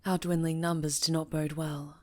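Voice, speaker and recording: A woman playing a stern, commanding witch who leads a coven, speaking formally and with measured authority in an ASMR fantasy roleplay. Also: low-pitched, flat delivery, a little mellow, natural voice. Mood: grave, measured. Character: stern, commanding female high enchantress leading a witch coven.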